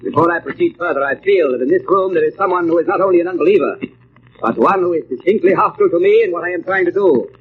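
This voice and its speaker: An actor playing a grave, commanding stage mentalist in a radio drama, speaking formally and deliberately. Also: male voice, high voice, husky. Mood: challenging. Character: grave, theatrical stage mentalist addressing his audience. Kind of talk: 1940s radio acting. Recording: noisy, tinny old radio recording.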